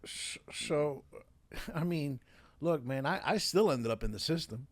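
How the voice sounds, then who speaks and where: A man's voice: fairly high pitch, lively intonation, measured pace, no room sound. A middle-aged man with a New York accent, a podcast guest telling his life story in casual, streetwise talk with lots of restarts. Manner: streetwise, repeats himself, says man a lot.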